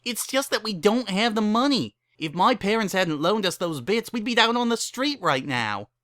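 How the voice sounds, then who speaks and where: A woman's voice: mid-range voice, expressive, normal speed, fairly bright tone, no echo, clean studio sound, pitched above the performer's own voice, close to an everyday voice. A woman voicing a timid, anxious young female pony in a dramatic story reading, hesitant and pleading.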